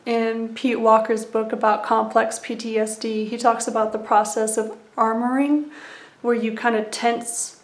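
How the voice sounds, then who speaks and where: A woman in her thirties, talking in a personal vlog, soft-spoken and unhurried with frequent ums and small laughs. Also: a little high, little pitch movement. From United States. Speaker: vlogger. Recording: echoey room.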